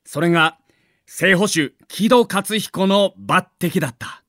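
A man's voice: sits high, takes it slowly, a bit bright, no room sound, studio quality. A man narrating a scripted documentary as a story, with dramatic emphasis.

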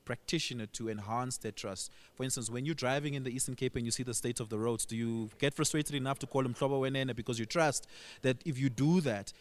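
Fast-talking South African radio host, a man. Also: medium pitch. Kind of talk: live radio interview.

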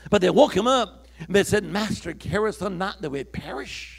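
A male preacher with a high, gravelly voice delivers a sermon in a church. He calls out questions for the congregation to answer and swells into emphatic, forceful lines. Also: lively intonation, moderate pace. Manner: call-and-response preaching, rising to emphatic shouts.

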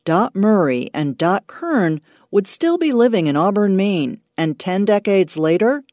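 A woman reading a scripted radio news feature in an expressive storytelling manner. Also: mid-range voice, measured pace, dry recording, studio quality. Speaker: radio reporter.

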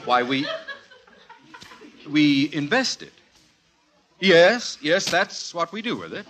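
Officious American bank clerk, very noisy. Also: male voice, fairly high pitch, sing-song, slow pace. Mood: flustered. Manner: formal, businesslike. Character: polite, officious, easily flustered male bank clerk. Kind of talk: 1940s radio comedy acting. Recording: very noisy, clicking old radio recording.

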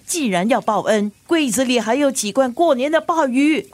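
A woman's voice: high voice, expressive, moderate pace, a little mellow, no echo. An actress playing a meddling, matchmaking older mother in a radio drama, fussy, insistent and prying, with a Singaporean accent.

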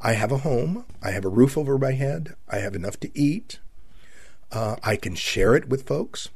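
A seventy-year-old male radio host with a husky, slightly breathy voice, at a middle pitch for a man. He speaks in a folksy, rambling monologue, stopping and restarting with many fillers. The sound is dry and close, with no room around it. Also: lively intonation, darkish tone, dry recording. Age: 70s. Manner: halting, with many fillers and repeated words; folksy, addresses listeners as my friends. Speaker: radio talk show host.

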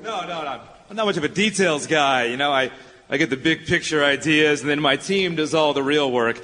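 A man's voice: on the high side, a little fast, pushed and bright. An actor playing a rich, breezy businessman with boyish enthusiasm in a live radio play. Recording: echoey hall, faint background noise.

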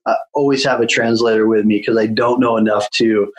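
A man's voice: fairly low pitch, steady tone, brisk. A man being interviewed, telling his story in a casual way with many fillers and restarts. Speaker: guest, children's home founder. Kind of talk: conversational interview.

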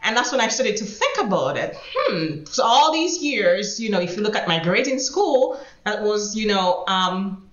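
A woman from Haiti, a guest attorney telling her life story in an unhurried, reflective way with small laughs between phrases. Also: medium pitch, sing-song, a bit bright. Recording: roomy echo, dull sound.

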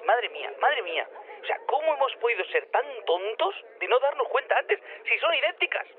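Excitable rambling inventor, very animated, hurried, repeating himself, narrow phone-like line. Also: male voice, very high-pitched. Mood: giddy, amazed. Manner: stammering, repetitive, rambling. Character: excitable, scatterbrained male inventor, eager and rambling. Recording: narrow phone-like radio link.

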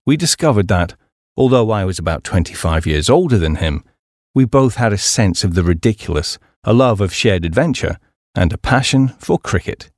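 Male audiobook narrator for a light memoir: lowish register, animated intonation, articulate and measured storytelling. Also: no room sound, clean studio sound.

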